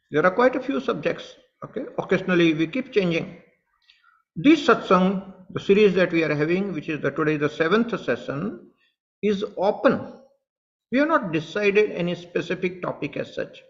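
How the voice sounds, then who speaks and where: A man's voice: sits high, a bit bright, highs cut off. A man with an Indian English accent, a spiritual teacher speaking earnestly to online followers, repeating his points and addressing them directly. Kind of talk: satsang talk to online followers.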